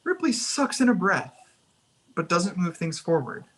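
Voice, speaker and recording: Slightly husky screenplay narrator. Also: medium pitch, very animated, unhurried. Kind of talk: scripted narration, reading screenplay action lines.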